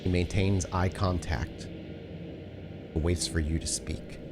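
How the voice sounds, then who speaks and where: A man narrating a tabletop game as storyteller, setting scenes in a dramatic, deliberate way. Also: low voice, fairly even intonation, background noise. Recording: prominent music behind the voice.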